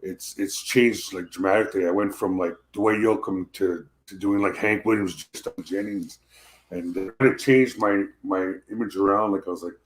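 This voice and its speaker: A man with a deep voice, a country singer talking casually about his music over a video call, often restarting and repeating words. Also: steady tone, moderate pace, poor audio.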